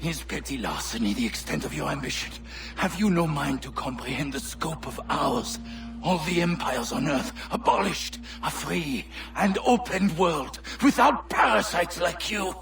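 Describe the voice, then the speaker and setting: An actor playing a haughty, scornful villain with a French accent, speaking in pompous, grandiloquent phrases in a video game cutscene. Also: male voice, a little high, animated, moderate pace, creaky, far from the mic, background noise. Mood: bitter scorn.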